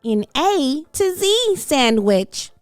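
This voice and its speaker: A woman's voice: sits high, big pitch swings, extremely slow, no room sound, faint music behind, voice pushed up, exaggerated voice. A woman voicing a tiny, eager little girl who makes polite but bossy demands in a children's storybook. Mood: eager, insistent. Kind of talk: children's storybook read-aloud.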